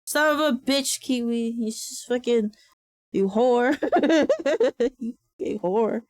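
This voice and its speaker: A woman streaming from her desk, chatting casually. She sounds tired and a little raspy, swears freely and laughs often. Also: high voice, dry recording. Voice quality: raspy when sleepy. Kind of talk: casual livestream chat.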